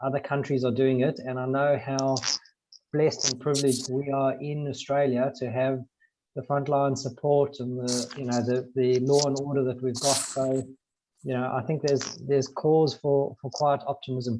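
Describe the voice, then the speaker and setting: A man's voice: mid-range voice, little pitch movement, normal speed, warm tone, a little hiss or hum, low-quality recording. A South African man in his forties reflecting on a panel over a video call with earbuds, often repeating words as he thinks. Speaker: business panelist. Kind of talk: online panel discussion.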